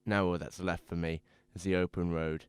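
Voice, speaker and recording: A low-pitched, brooding drifter played by a male actor in a noir-style radio drama. He is bitter and guarded, and swears as he talks. Car noise runs behind him. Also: flat delivery, moderate pace, lightly acted. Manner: brooding, profane monologue. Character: bitter, guarded male drifter fleeing his burnt-down life. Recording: noisy background of car and road. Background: car driving ambience.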